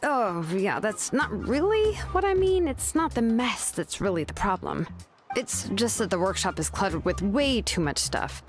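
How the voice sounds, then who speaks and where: An actress voicing a relaxed, frank young craftswoman and name engraver in a game, talking in an easygoing way. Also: mid-range voice, moderate pace, lightly acted. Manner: relaxed, casual storytelling. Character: young woman craftswoman, laid-back and frank. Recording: game audio with clear background music.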